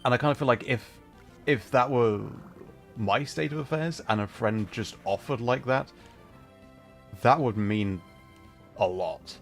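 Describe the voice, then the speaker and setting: A man's voice: medium pitch, unhurried, pauses often, soft background music. A man hosting a casual livestream, rambling thoughtfully as he plays and answers chat, with an easy tendency to chuckle. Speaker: livestreamer and host.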